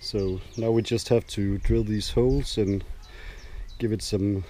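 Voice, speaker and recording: Low-voiced man, casual DIY vlog, noisy recording. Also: fairly even intonation, a little slow, warm tone. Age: middle-aged. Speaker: DIY sailboat vlogger. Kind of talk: casual narration while doing boat repairs. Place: aboard a small boat, cabin and cockpit.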